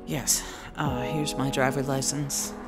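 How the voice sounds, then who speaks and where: An actress playing an apologetic, uneasy camper at the wheel in a radio drama, with hesitant, polite speech. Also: female voice, a bass voice, little pitch movement, takes it slowly, over loud music. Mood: nervous. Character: apologetic, uneasy traveler driving to go camping.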